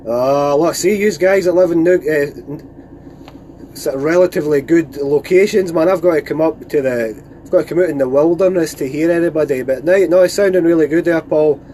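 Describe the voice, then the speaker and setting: A Scottish man talks on CB radio from his van. His voice sits a little high, and his delivery is chatty, peppered with operator jargon. A faint layer of noise runs behind him. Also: moderate pace, warm tone. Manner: chatty, informal, radio procedure phrases. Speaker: radio net operator filming a live stream. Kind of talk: casual CB radio chat. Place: inside a parked van outdoors in the countryside.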